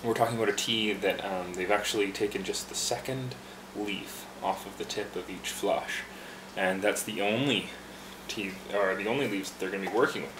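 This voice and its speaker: A man working behind a tea bar casually explains how to brew a green tea, talking in a relaxed way with fillers and restarts. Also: on the low side, moderate pace, roomy sound, background noise. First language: English. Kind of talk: casual instructional demonstration.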